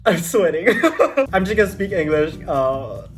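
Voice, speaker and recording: A man's voice: on the high side, lively intonation, measured pace. A young man from Dubai chatting casually to camera in a rambling, self-correcting way. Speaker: vlogger.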